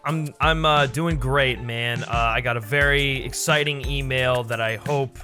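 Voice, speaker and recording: Bright-voiced man, casual podcast co-host. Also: medium pitch, fairly even intonation, a little slow, faint music behind. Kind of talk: casual movie discussion.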